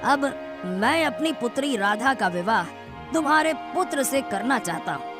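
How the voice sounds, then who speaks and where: A woman's voice: high-pitched, expressive, unhurried. A woman playing an ageing, genial village headman in a cartoon moral story, speaking in a reassuring way. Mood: earnest. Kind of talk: animated moral story dialogue. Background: background music.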